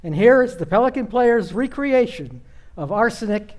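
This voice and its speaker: A man's voice: very high-pitched, lively intonation, normal speed. A man acting as the genial storytelling narrator of an old-time radio suspense play. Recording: faint music behind.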